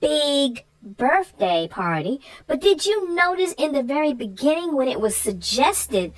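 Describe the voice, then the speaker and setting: A woman casually discussing a children's story, animated and walking through points one by one. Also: high-pitched, unhurried, low-quality recording. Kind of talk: casual chat about a children's book.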